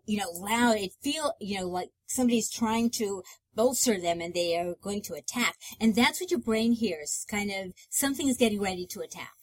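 Slow-speaking woman co-hosting. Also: medium pitch, lively intonation, dry recording, low-quality recording. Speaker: co-host of a relationship talk show. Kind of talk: live-streamed casual talk.

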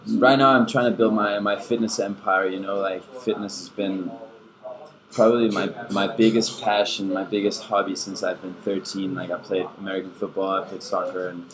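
A man in his twenties whose first language is German, answering interview questions in a casual, measured way and often repeating words while he thinks. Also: low-pitched, fairly even intonation, poor audio. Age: 20s. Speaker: podcast guest, fitness coach. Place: busy bar. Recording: bar crowd chatter behind.

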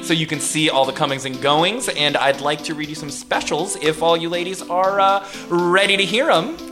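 A woman playing an eager, flustered bar server in improvised comedy radio theater, halting and over-polite. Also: low voice, music in the background, close to an everyday voice.

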